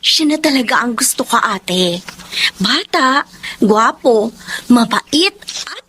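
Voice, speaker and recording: Young female lead: lovestruck, emotional daughter in radio melodrama, high and very animated, some background noise. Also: slow pace. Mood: smitten, proud. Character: young working-class daughter in love, emotional and pleading. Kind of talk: Tagalog radio-style melodrama acting.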